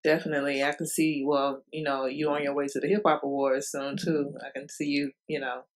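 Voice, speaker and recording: A woman hosting a casual interview, upbeat and quick with brief replies like "okay" and "right". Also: deep voice, flat delivery. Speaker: interviewer, host.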